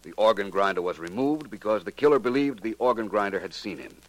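An American man acting a suave, unruffled private detective in a 1940s radio drama, urbane and coolly deliberate. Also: lowish voice, lively intonation, dry recording, natural voice, rough recording. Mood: cool, reasoning. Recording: old 1940s radio recording.

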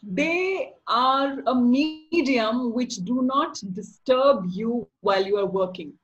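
A middle-aged woman with a slightly raised pitch and a faint nasal quality. She explains exercises patiently as a podcast guest and slips in playful jokes. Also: slow, muffled recording. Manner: instructive, playful, laughs often. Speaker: podcast guest, voice-over artist. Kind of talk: casual interview.

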